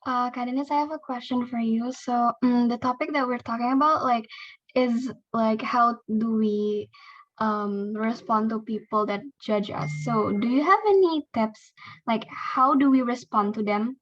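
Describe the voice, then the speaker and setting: A woman's voice: a little high, measured pace. Woman asking, "like"-filled, lo-fi audio. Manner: filler-heavy, lots of "like". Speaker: student asking a question.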